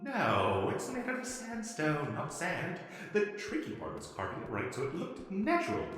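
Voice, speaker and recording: A man's voice: fairly high pitch, wildly swooping pitch, normal speed, a bit bright, slightly rough, faint music behind, exaggerated voice. A man playing an eager, pushy wizard salesman in a comedic fantasy roleplay, chatty and stammering through his sales patter. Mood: enthusiastic.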